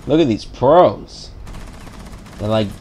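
A man's voice: mid-range voice, expressive, slow pace, darkish tone, a little hiss or hum. A young man playing an online shooter, chatting casually in a joking, teasing way. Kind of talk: casual gaming banter.

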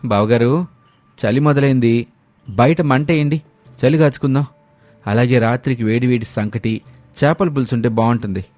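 A man's voice: mid-range voice, normal speed, pauses often, darkish tone, no echo, soft background music, close to an everyday voice. A man voicing a pampered, lazy brother-in-law, pompous and demanding, in a cartoon moral story. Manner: self-indulgent, demanding.

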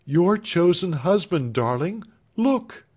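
A man lifts his voice to play a calm, loving young woman from a storybook. She speaks tenderly, with a soft, raised voice that swings up and down. She is gentle and unhurried. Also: high-pitched, pitched above the performer's own voice, heavily acted. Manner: tender, urging.

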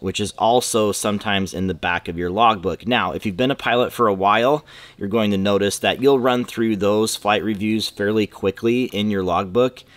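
A man in his thirties, a flight instructor, explaining rules to camera in a relaxed, conversational way from a hangar. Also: low-pitched, dry recording. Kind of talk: casual instructional talk to camera. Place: aircraft hangar, indoors. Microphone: close camera, seated at desk.

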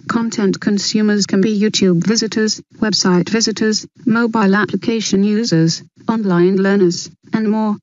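A woman's voice: medium pitch, no echo. A woman's text-to-speech voice delivers scripted narration. It sounds synthetic and very dry, with a slightly lo-fi dullness. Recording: dull lo-fi sound.

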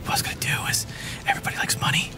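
A man's voice: very fast, music in the background. A middle-aged man co-hosting a gaming show with casual, joking, sweary commentary, often in a mock ASMR style. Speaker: gaming show co-host and coach. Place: indoor streaming room.

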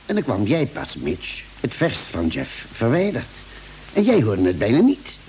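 A spaceship doctor in an old radio drama, reassuring and gently firm. His medium-pitched voice rises and falls expressively. The recording carries a light hiss. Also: wildly swooping pitch, moderate pace, poor audio. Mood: explaining. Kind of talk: vintage Dutch radio play acting.

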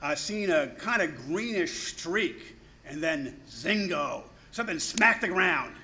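Man acting high-voiced, rambling country farmer. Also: animated, slow pace, pushed and bright, full character voice. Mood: earnest recounting. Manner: rambling, rustic, hesitant. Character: folksy, rambling, slow-witted male farmer. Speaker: actor in a radio drama recreation. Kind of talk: 1930s radio acting.

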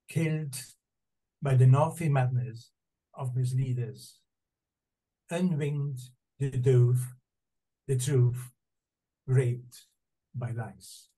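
An older man reading poetry aloud in a deliberate, husky voice on a video call. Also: medium pitch, mellow, rough recording. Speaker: poet. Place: book-lined room indoors. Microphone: video call, close to computer.

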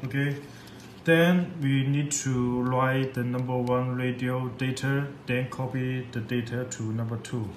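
A man giving an unscripted tutorial in halting, repetitive, simple English. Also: medium pitch, steady tone, over music. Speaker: product demonstrator. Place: indoor room at a computer desk. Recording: echoey room with background noise.

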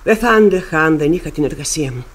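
An actress playing a stern, embittered widowed mother in radio theatre, speaking gravely and deliberately. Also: low voice, lively intonation. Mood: bitter, resolute. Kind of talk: classic Greek radio theatre acting.

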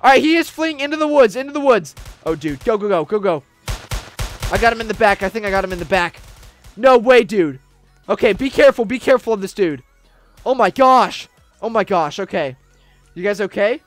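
A man's voice: sits high, lively intonation, brisk, soft background music. Young man barking urgent squad orders. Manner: urgent, barking orders, swings between hushed and shouting. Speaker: streamer leading a roleplay team. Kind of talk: in-character roleplay commands. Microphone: desk mic, webcam streamer.

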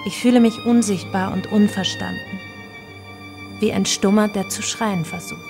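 Actress doing anguished woman's inner monologue, radio drama, crackly low creak in the voice, violin playing. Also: normal speed, natural voice. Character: despairing woman chemist in inner monologue, early 1900s. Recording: violin music behind the voice. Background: violin music.

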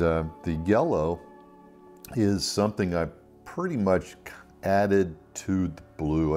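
Brief: low-voiced male art tutor, unhurried delivery, soft guitar music under him. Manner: easygoing, unhurried, many ums and uhs. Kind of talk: relaxed step-by-step painting demonstration. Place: at a table, painting from overhead camera view.

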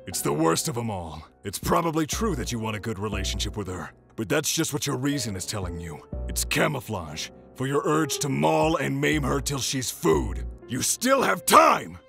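A man voicing a gruff, hot-tempered panda doctor in a manga dub, barking blunt, forceful scoldings. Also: fairly high pitch, heavily acted. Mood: urgent warning. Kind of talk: dramatic manga voice-over acting.

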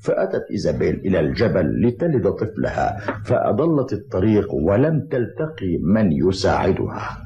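Deep-voiced solemn old grandfather. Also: big pitch swings, moderate pace, warm tone. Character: solemn old mountain grandfather, protective and gentle. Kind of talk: dubbed cartoon dialogue, storytelling in formal Arabic. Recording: dull lo-fi cartoon audio under music.

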